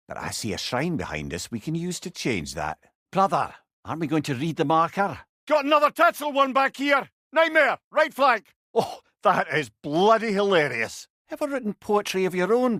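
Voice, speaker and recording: A man's voice: fairly high pitch, normal speed, no room sound, clean studio sound. A man playing a witty old talking severed head, a wise counsellor with a Scottish accent and an eloquent, wry manner in a video game. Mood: urgent shouting, then wry, teasing. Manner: wry, eloquent, animated storytelling. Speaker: companion character in an action game. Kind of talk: video game character voice lines.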